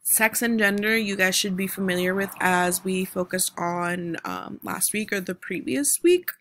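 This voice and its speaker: A woman's voice: medium pitch, a little slow, fairly bright tone, slight background noise. A young adult woman from the United States, a teacher giving a recorded online mini-lesson over slides, explaining step by step with frequent ums and "right?" checks. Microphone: computer microphone, voice-over on screen recording.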